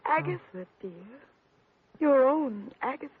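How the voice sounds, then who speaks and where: A woman's voice: high-pitched, expressive, a little slow. An actress playing a devoted, overwrought woman in love, plaintive and melodramatic, in a 1940s-style radio drama. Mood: pleading. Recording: dull vintage radio recording.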